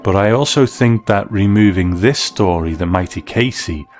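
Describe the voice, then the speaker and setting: A man presenting a scripted review in a measured, reflective manner. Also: on the low side, a little mellow. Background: background music.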